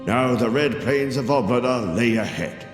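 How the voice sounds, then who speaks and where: A man playing a patient storyteller, narrating a knight's adventure for a video game in a measured, dramatic way. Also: mid-range voice, lightly acted. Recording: long reverb, music underneath.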